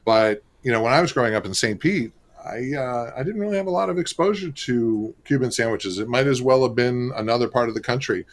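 A man's voice: mid-range voice, brisk, slight background noise. Florida guy, mid voice, laid back and chatty on a radio interview, lots of you knows. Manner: relaxed, chatty, many fillers. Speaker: restaurant marketing executive and co-author.

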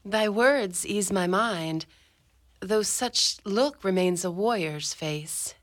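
A woman playing a devoted, anxious wife in an amateur Shakespearean radio drama, speaking in a measured, earnest manner. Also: medium pitch, close to an everyday voice. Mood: tender, weary. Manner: measured, earnest archaic delivery.

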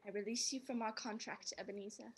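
A woman playing an earnest, sorrowful young fiancée in a radio drama, measured and pointed. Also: mid-range voice, steady tone, lightly acted. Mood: sorrowful, resolute. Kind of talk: stage-style radio drama acting.

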